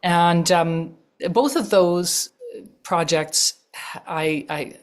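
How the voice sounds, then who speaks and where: A woman with a low voice giving thoughtful, measured answers as an actress guest in an interview, pausing often. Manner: thoughtful, measured, with frequent pauses and fillers.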